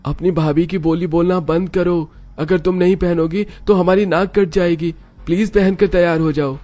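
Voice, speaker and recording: A man's voice: sits high, steady tone, moderate pace, mellow, soft background music, lightly acted. A man playing an exasperated, pleading young husband in a family story, urgent and scolding. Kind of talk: dramatised Hindi family story acting.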